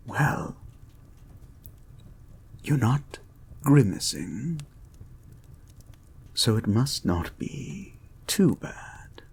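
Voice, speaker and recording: Man playing a slow, husky, creepy soothing therapist. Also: mid-range voice, lively intonation, exaggerated voice. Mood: lightly amused. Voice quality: breathy, husky, often dropping to a whisper. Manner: soft-spoken, deliberate, halting, formally polite. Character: creepy, soothing male experimental therapist, calmly controlling and intent. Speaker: audio roleplay performer. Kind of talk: slow intimate ASMR-like audio roleplay, guided hypnosis.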